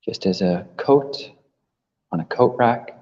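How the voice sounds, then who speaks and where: A man leading a guided meditation as a teacher, gently instructing with long pauses between phrases. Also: medium pitch. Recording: muffled, long reverberant hall echo.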